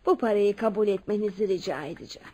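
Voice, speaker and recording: A woman playing a husky, kindly older lady. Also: mid-range voice, quick pace, a little mellow, close to an everyday voice. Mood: insistent. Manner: motherly, addresses others as her children. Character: kindly, insistent older well-off lady living alone. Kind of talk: Turkish radio drama acting.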